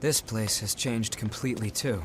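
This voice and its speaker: An actor voicing a calm, earnest and quietly burdened young man, with measured, reserved video game delivery. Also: lowish voice, noisy background, natural voice. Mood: calm, resolute. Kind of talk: restrained video game dramatic acting. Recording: music behind the voice. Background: string game score.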